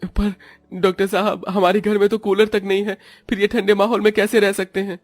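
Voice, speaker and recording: A man's voice: sits high, little pitch movement, a little fast, dry recording, faint music behind. A man playing an anxious working-class village father with an earnest, questioning manner in a cartoon story.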